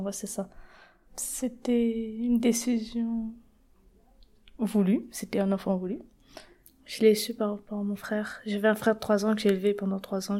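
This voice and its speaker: Hesitant young Frenchwoman, mellow voice. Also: medium pitch. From Paris region, France. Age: 20s. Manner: plain spoken, hesitant with many fillers. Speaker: interviewee. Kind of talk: documentary interview testimony.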